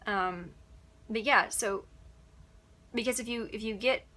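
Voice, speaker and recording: A woman's voice: on the high side, measured pace, sharp tone. A young woman from upstate New York, an audiobook narrator, chatting through Q&A answers with plenty of ums and little laughs. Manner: chatty, giggly, many ums. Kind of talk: casual Q&A answers.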